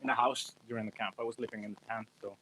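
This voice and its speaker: A man's voice: lowish voice, flat delivery, rapid-fire, bright, forceful tone, slight background noise, poor audio. A man from Italy, a guitarist, chatting loosely on a livestream and joking at his own expense. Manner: rambling, self-mocking, chuckling. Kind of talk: casual livestream chat.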